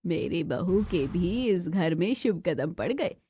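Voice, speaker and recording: A woman voicing a kindly, traditional older female in-law in a cartoon story, measured and instructive. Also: lowish voice, animated. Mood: welcoming, solemn.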